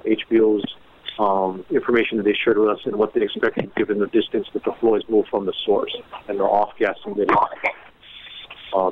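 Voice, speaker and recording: A man giving a measured, halting update as an official at a media briefing, with many fillers and restarts. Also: medium pitch. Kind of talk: informal media briefing on a conference call. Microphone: phone. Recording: tinny phone line with faint noise.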